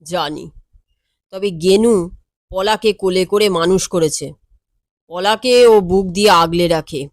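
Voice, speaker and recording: Woman playing male lawyer, audio story, slow, measured, wry, composed, world-weary, dry sound. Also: lowish voice, no echo, close to an everyday voice. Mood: grave. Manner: measured, matter-of-fact, wry.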